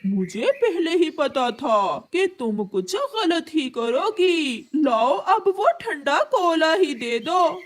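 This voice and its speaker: A woman voicing an exasperated male crow farmer who scolds his wife in a story cartoon, with drawn-out exclamations. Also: high voice, very animated, heavily acted. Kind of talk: Hindi moral-story cartoon dubbing.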